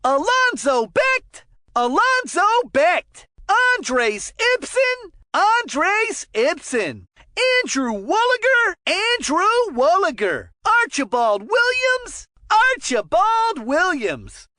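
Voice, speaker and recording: An excitable little boy calling a cartoon hockey game. The voice is extremely high and bright, and very slow, stretching out each exclaimed call like a proud young announcer. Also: no room sound, clean studio sound, heavily acted. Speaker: sports game commentator. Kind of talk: video game announcer calling out player names.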